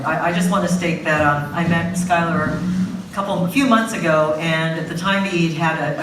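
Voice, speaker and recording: A low-pitched woman moderates a panel at a film festival. She talks haltingly, with lots of fillers and restarts, and her voice is loud. The sound is heavily echoey, picked up from a distance with audible room noise. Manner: says she has a loud voice. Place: festival screening venue.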